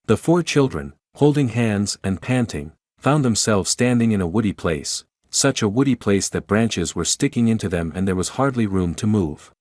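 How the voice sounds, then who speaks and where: A man's voice: on the low side, animated, normal speed, hardly any pauses, a little mellow, no room sound, studio quality. A man reading scripted storybook narration as the narrator.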